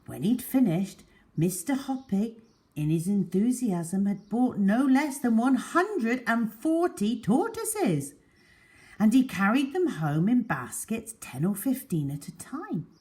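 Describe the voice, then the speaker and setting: A woman storyteller reading a children's story aloud in an expressive, deliberate and emphatic way. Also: a little high.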